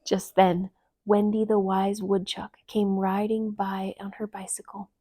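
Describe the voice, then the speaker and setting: A woman reading a children's story aloud, with gentle, expressive storytelling narration. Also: medium pitch, a little slow, dry recording, studio quality. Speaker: storytime reader.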